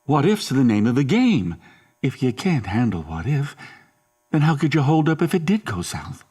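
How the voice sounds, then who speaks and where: A man's voice: on the low side, animated, slow. An actor playing a menacing, controlling middle-aged father in an audio horror drama, with a folksy, coaxing, domineering manner. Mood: menacing anger.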